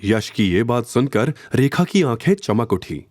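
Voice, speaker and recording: A man's voice: mid-range voice, very animated, unhurried, a little mellow, dry recording, clean studio sound. A man narrating an audio drama in a dramatic scripted storytelling style.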